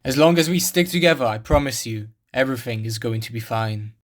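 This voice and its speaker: A man's voice: medium pitch, brisk, natural voice. A man acting as a protective, plain-spoken wasteland survivor in game cutscene dialogue. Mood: reassuring.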